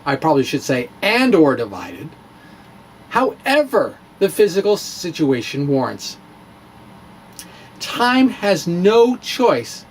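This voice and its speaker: A man's voice: fairly high pitch, slight background noise. A man presenting a science vlog as a dramatic reading mixed with casual show-and-tell, with theatrical, emphatic phrasing and playful asides. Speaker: science vlogger presenting his own blog.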